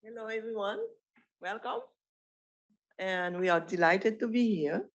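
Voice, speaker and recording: A middle-aged physician from Haiti with a Haitian accent, giving an informal, halting presentation full of restarts. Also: mid-range voice, a bit bright. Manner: halting, with frequent restarts and self-corrections. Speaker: physician presenting a residency program. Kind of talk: informal presentation at an online showcase.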